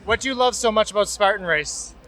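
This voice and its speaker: A man interviewing athletes outdoors at a race event, asking quick, upbeat questions. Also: sits high, fairly bright tone, faint music behind, rough recording. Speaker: podcast host interviewer.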